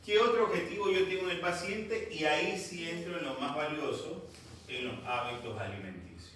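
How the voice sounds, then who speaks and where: A man's voice: on the high side, expressive, normal speed, fairly bright tone, slight background noise. Man, stern lecturer, roomy classroom, distant mic. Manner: stern, scolding. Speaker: medical lecturer. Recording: echoey classroom from far off.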